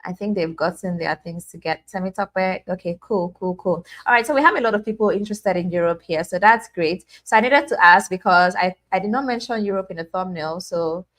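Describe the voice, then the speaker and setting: A woman's voice: on the low side, brisk, hardly any pauses, a bit bright. A young woman hosting a casual live stream, chatting and reading out viewers' names with many restarts and fillers. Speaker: livestream host.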